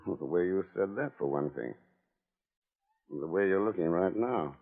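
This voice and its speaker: An actor playing a cool, tough secret agent in a 1940s radio drama, dry and commanding. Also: male voice, low-pitched, expressive, normal speed, gravelly, heavily acted. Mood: guarded banter. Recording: tinny phone-like old radio recording.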